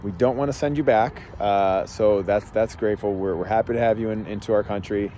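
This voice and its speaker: A man with a mellow, dark voice tells a story in a loose, halting way, circling back and restarting his sentences. He speaks outdoors, and faint street traffic hums behind him. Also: lowish voice. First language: English. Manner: rambling, many fillers and restarts. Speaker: podcast host and life coach. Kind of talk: casual storytelling monologue.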